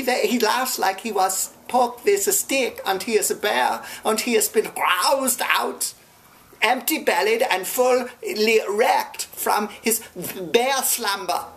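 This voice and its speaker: A woman's voice: medium pitch, slow, vocal fry. A woman playing a pompous German man who complains in clumsy English with a put-on German accent. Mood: indignant. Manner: indignant, haughty complaining in broken English. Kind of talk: improvised comedy sketch.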